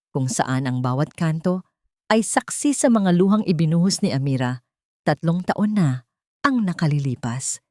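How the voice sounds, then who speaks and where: A woman with a fairly low voice reads a dramatic story. Her phrasing is deliberate and sentimental, like scripted audio drama narration. The sound is close and dry, with little room in it. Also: mellow, no room sound.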